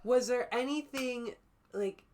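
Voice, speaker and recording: A woman's voice: on the high side, slow, a little mellow. A young woman chatting casually as a livestream guest, playful and teasing in manner.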